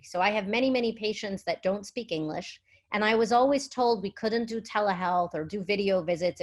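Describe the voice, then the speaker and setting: Middle-aged woman presenting, articulate and emphatic. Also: mid-range voice. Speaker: physician and guest speaker. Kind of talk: virtual summit presentation, conversational.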